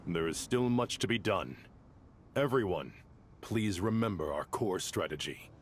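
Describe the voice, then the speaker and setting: A man's voice: low-pitched, expressive, a little slow, a little hiss or hum. A man voicing a composed, courteous official with an air of authority in a video game. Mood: composed, organizing.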